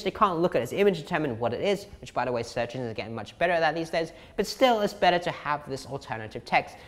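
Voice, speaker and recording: A man's voice: fairly high pitch, in a large hall. A young man teaching an online course in a chatty, emphatic way, repeating key points, his voice tiring from talking a long time. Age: young adult. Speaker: SEO course instructor. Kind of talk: casual tutorial explanation to camera. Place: indoor living room.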